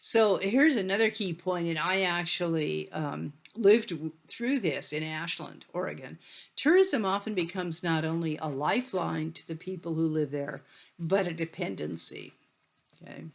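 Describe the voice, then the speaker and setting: A woman's voice: on the low side, moderate pace, creaky. A middle-aged woman from Ireland with an Irish accent, giving a conversational university lecture full of restarts and asides. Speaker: college lecturer.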